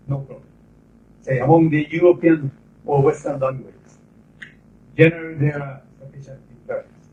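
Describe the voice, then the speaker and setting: A middle-aged man with a Japanese accent, lecturing a class in English about interpreting in a measured, explanatory way with pauses between phrases. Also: medium pitch, darkish tone, a little hiss or hum. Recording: roomy, distant, dull 1990s classroom tape.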